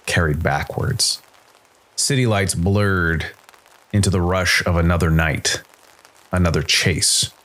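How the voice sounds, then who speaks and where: A man's voice: sits low, a bit bright, low-quality recording. A man reading scripted science fiction noir narration as a storyteller. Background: faint music.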